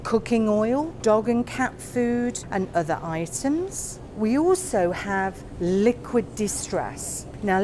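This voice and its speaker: A woman guiding a warehouse tour, explaining each area in a slow, plain way. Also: mid-range voice. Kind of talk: guided tour narration.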